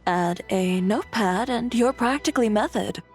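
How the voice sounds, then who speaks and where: A woman voicing a blunt, sardonic female designer who teases in a dry, deadpan way. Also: mid-range voice, moderate pace, soft background music, close to an everyday voice. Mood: teasing. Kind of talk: video game voice acting.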